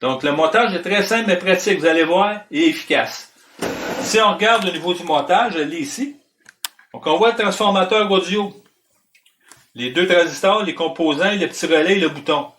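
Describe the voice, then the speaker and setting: A high-pitched male instructor with a Quebec French accent walks through a technical demonstration in an informal, explanatory way. He restates key points as he goes. The sound is echoey, picked up at some distance from the microphone. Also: normal speed, fairly bright tone. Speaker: electronics hobby instructor. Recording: roomy echo, distant microphone.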